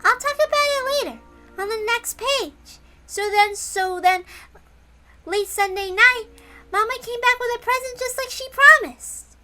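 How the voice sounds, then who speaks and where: Very high, bright little girl. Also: unhurried, soft background music, full character voice. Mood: solemn, serene. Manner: childlike, repeats herself in excitement. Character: little girl, eerily serene yet gleefully excitable.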